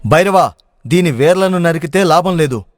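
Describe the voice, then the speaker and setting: A wise, respected village scholar, acted by a man in a storybook adventure. His voice sits a little high, with earnest, emphatic phrasing. The recording is dry and close. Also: lively intonation, moderate pace, no echo, natural voice. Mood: earnest, advising. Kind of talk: dramatic audio storybook acting.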